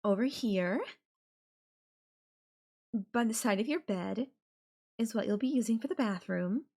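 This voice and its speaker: A woman voice actor playing an obsessive, possessive girlfriend in an intimate audio roleplay, with a coy, casual, drawn-out way of talking. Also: fairly high pitch, vocal fry, warm tone. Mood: casual, matter-of-fact. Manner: coy, drawn-out, casual filler-laden phrasing with giggles and sighs. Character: obsessive, possessive yandere girlfriend, playfully menacing kidnapper.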